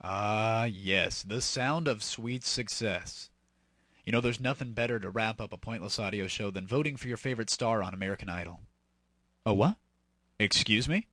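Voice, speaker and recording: A man's voice: on the low side, normal speed, a bit bright, dry recording. A man hosting a comedy audio show, talking casually to listeners in a teasing, mock-formal way. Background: background music.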